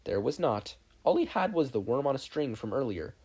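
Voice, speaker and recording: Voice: medium pitch, big pitch swings, normal speed, a little mellow, breathy edge. A narrator reading a scripted story aloud as a podfic reader.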